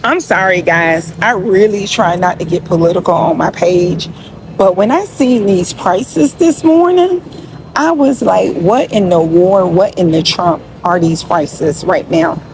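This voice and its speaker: Unhurried, low-voiced woman over background noise. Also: a little mellow. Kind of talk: casual complaint vlog to followers. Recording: noisy ambient background.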